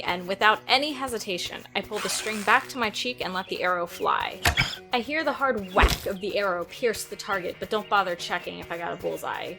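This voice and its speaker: A woman playing a sardonic, defiant teenage girl archer who narrates and speaks in an audio drama, expressively. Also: medium pitch. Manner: sarcastic, expressive. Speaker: narrator and lead character. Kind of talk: first-person audio drama narration and dialogue. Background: music.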